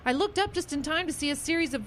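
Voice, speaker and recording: A woman plays a folksy small-town neighbour in a radio play. She chats along in a fairly high voice, with a faint noise in the background. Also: animated, quick pace, a bit bright. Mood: chatty. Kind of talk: audio drama acting.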